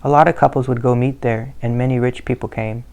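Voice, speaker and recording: A man reads a family history aloud in a steady, measured way. His voice stays flat and even and has a gravelly edge. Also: mid-range voice. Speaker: narrator. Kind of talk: scripted narration of a family history.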